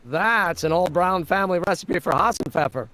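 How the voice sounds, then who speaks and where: A man's voice: sits high, lively intonation, moderate pace, bright, forceful tone, slight background noise, low-quality recording. A man voicing an eager, earnest young amateur scientist in a video game, explaining things precisely and with enthusiasm. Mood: chatty, enthusiastic. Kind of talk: video game character acting.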